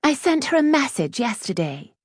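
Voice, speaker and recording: A haughty schoolgirl of high birth, acted by a woman narrator, speaks in a raised, swooping voice. She is prim, spiteful and cutting, in storybook style. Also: sing-song, moderate pace, no room sound, studio quality. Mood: disdainful. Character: haughty, spiteful young lady of quality, a schoolgirl.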